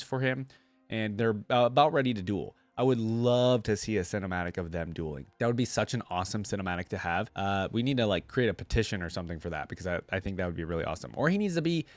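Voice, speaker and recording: A man's voice: fairly low pitch, no room sound. A man doing casual, chatty reaction commentary, sometimes reading aloud in an informal, enthusiastic fan style. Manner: chatty, informal, easily impressed fan talk. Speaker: YouTube reaction host.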